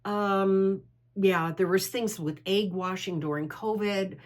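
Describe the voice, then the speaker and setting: A woman's voice: medium pitch, fairly even intonation, takes it slowly. A middle-aged American-born woman who leads a women's travel club, telling stories in a candid, opinionated, digressive way. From United States. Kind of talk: interview answer, conversational monologue.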